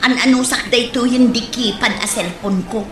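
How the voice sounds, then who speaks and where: A woman's voice: fairly high pitch, moderate pace, slight background noise, lightly acted. A woman playing a naive, stubborn, nagging wife in a comedy drama, with an Ilocano accent. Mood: suspicious. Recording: echoey room.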